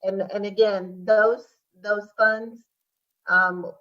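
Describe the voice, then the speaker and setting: Slow-spoken woman, muffled and distant. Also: mid-range voice, fairly even intonation, a bit bright. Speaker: city grants official answering questions. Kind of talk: explaining at a public hearing. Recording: muffled audio, distant microphone.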